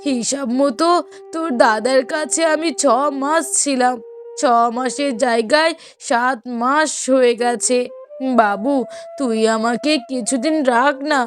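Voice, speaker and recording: A woman voicing a frail, humble elderly mother in a cartoon story, slow and beseeching. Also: high voice. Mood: pleading. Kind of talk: cartoon moral story voice acting.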